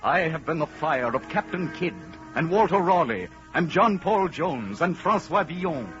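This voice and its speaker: An actor playing the spirit of romantic adventure, narrating a 1930s radio drama in a grand, declamatory manner over music on a muffled old recording. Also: male voice, fairly high pitch, lively intonation, moderate pace, fairly bright tone. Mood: grand, rousing.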